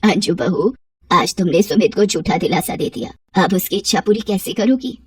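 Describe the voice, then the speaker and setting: A woman's voice: lowish voice, lively intonation, moderate pace, breathy edge, clean studio sound, pitched below the performer's own voice. A woman voicing an anxious, caring elderly mother-in-law in a cartoon story. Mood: concerned. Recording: roomy echo, distant from mic.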